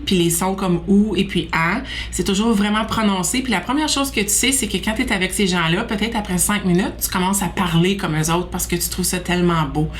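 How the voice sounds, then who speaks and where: A woman's voice: fairly low pitch, fairly bright tone, a little hiss or hum. A woman answering an interview question casually, drawn out with fillers. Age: adult.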